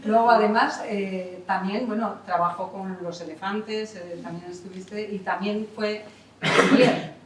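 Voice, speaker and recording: A woman's voice: mid-range voice, fairly even intonation, measured pace, background noise. A woman, an artistic director introducing a conference panel in a chatty way, full of fillers and self-corrections. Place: theatre hall. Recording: echoey hall, voice from far off.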